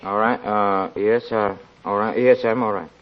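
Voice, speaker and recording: An actor playing an obsessed, nervous young army officer in a 1940s radio drama, with a fervent, halting delivery. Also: male voice, on the low side, steady tone, slight background noise, natural voice. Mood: nervous, evasive. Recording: dull, band-limited 1940s radio recording.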